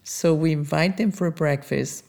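Middle-aged woman, creaky voice, soft warm tone, conversational interview storytelling. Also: deep voice, a little slow. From Andorra. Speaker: interviewee, biotech entrepreneur.

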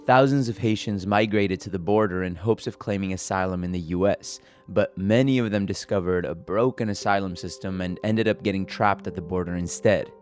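A man's voice: sits low, a little fast, few pauses. A man reporting and hosting in a scripted narration style. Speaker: podcast host and reporter. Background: music bed.

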